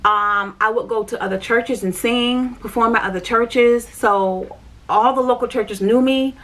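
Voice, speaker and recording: A woman's voice: on the high side, fairly even intonation, unhurried. A woman chatting on her vlog, telling a personal church story. She repeats herself and talks straight to her viewers. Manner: chatty, repetitive, addresses viewers directly. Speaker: vlogger.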